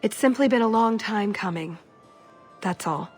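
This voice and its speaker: A woman's voice: medium pitch, moderate pace, darkish tone, faint music behind, lightly acted. An actress playing a young elf thief in a video game, wry, sarcastic and restless in her delivery. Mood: eager, guarded.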